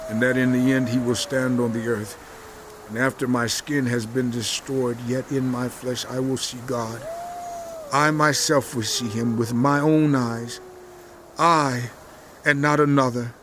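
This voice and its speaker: A man's voice: mid-range voice, slight background noise, natural voice. An actor playing an anguished, suffering man who pleads with his friends in an impassioned, theatrical audio Bible drama. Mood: hushed conviction.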